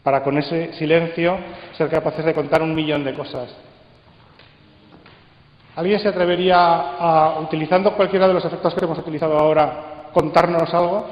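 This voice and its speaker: A man with an accent from Spain giving a live talk, speaking rhetorically phrase by phrase with pauses. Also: a little high, steady tone. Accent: Spanish, from Spain. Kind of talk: live presentation to an audience. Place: conference hall. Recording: dull sound, echoey hall with long reverb.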